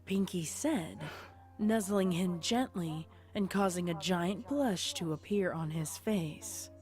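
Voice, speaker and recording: Low-pitched woman narrating a dark story. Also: animated, slow pace, soft background music.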